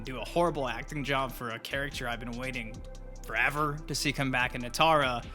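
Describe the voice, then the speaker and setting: A man's voice: mid-range voice, sharp tone. A man speaking as host of a gaming news channel, giving casual, colloquial opinion commentary straight to his viewers. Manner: colloquial, profane, addresses viewers directly. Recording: music behind the voice. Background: music.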